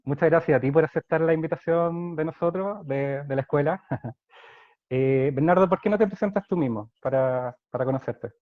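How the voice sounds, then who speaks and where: A man's voice: a little high, moderate pace, no echo. A man hosting an interview over a video call, polite and formal. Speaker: host, school interviewer. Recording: tinny phone-like video call audio.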